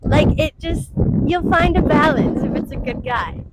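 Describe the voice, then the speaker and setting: A woman's voice: sits high, measured pace, very noisy. A young woman answering street interview questions on a beach, easygoing and laughing. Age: young adult. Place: outdoors on a beach. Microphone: handheld mic held by interviewer.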